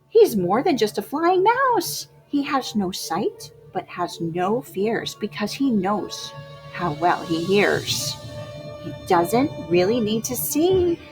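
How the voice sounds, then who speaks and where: A woman reading rhyming picture book poems aloud to children in a theatrical way, moving between hushed whispers and loud exclamations. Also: mid-range voice, slightly rough, low-quality recording. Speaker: children's storybook reader.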